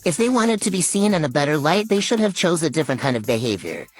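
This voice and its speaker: Lively low-pitched woman giving online advice. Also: quick pace, soft background music. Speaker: social media content creator. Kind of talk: advice monologue to viewers.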